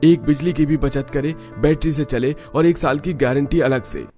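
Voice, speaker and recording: A man's voice: on the high side, fairly even intonation, normal speed, warm tone, faint music behind, pitched above the performer's own voice, natural voice. A man voicing an eager cartoon street vendor calling out his wares. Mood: eager hawking. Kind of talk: cartoon street-seller call.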